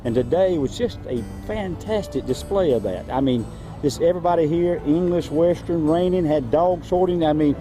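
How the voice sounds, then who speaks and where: Man, show host, folksy drawling interviewer, slightly high voice, faint music behind. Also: normal speed. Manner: folksy, drawling, chatty with fillers. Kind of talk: folksy interview at a horse expo. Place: outdoors at a horse event.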